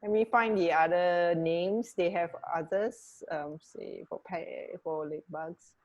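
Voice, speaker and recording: A woman's voice: lowish voice, fairly even intonation, a little slow, muffled recording. A woman co-hosting a radio show in casual chat, feeling her way through sentences with a hesitant, searching delivery. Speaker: radio co-host. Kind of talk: casual chat about fun facts.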